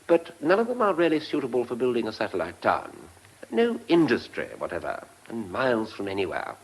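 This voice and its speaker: A man's voice: mid-range voice, huge pitch swings, fairly quick, husky, exaggerated voice, low-quality recording. A man playing a polite, keen, scheming British civil servant in a radio drama, speaking precisely. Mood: keen, plotting. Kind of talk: mid-century British radio drama acting.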